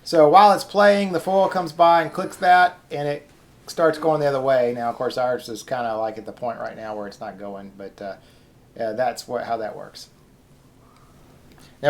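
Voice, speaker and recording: A man hosting a video, giving a casual, informal and somewhat hesitant unscripted demonstration as he talks. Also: mid-range voice. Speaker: video host.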